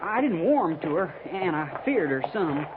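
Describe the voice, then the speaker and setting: An actor playing a simple, earnest frontier trooper with rustic, ungrammatical speech in a 1950s radio western. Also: male voice, high voice, very animated, unhurried. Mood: earnest, uneasy. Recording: noisy, tinny 1950s radio recording.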